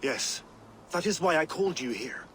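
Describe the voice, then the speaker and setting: A vengeful Viking warlord. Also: male voice, a little high, expressive, moderate pace, fairly bright tone, a little hiss or hum. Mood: commanding. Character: vengeful Danish warlord, proud and fierce. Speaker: Viking chieftain character. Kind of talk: video game voice acting. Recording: game audio with music behind.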